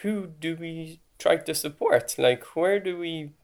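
A man's voice: a little high, slow. A man with an Irish accent hosting a podcast, explaining things in a conversational way with casual asides. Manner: conversational, chatty asides with "like" and "right?". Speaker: podcast host.

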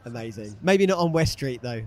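A man with a slightly hoarse voice, enthusiastically interviewing exhibitors at a business show. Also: mid-range voice, lively intonation, dark tone. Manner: enthusiastic, quick to praise. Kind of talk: upbeat vox-pop interviewing. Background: exhibitors packing up.

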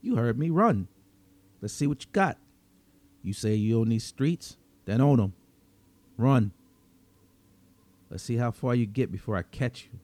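A man narrating a dramatic story in the first person as a cynical, wry, menacing vigilante vampire, with sardonic, slangy delivery and dramatic pauses. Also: mid-range voice, lively intonation, warm tone, dry recording. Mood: taunting menace.

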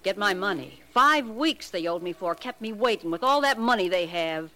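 A woman's voice: mid-range voice, animated, moderate pace, sharp tone. A woman playing a brash, aggrieved, uneducated housemaid in a 1940s radio drama, blunt and slangy. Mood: aggrieved, pushy. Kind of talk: 1940s American radio character acting. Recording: noisy old radio recording.

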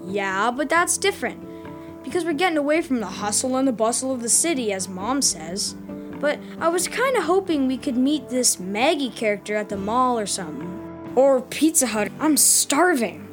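Woman as a whiny, lively young boy. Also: high voice, moderate pace, music in the background. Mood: grumbling. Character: hungry, whiny, dramatic school-age boy adventurer. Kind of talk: children's fantasy audio drama acting.

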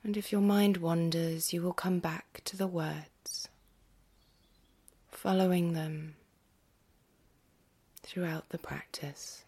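Hushed, very slow woman guiding meditation. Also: on the low side, fairly even intonation. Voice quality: breathy, husky, often near-whisper. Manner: gentle, unhurried, with long pauses. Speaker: yoga nidra meditation guide. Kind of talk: guided meditation, hushed and soothing.

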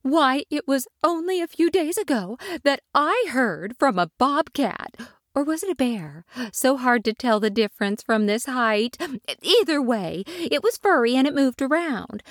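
Fretful mother tree, high and gossipy, voiced by a woman, dry close sound. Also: sing-song, a little slow, no room sound. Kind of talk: storybook character voice.

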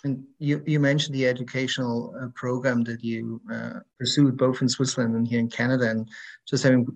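Gravelly-voiced male interviewer. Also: medium pitch, little pitch movement, brisk, highs cut off. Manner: friendly, asks long questions with fillers. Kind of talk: interview questions.

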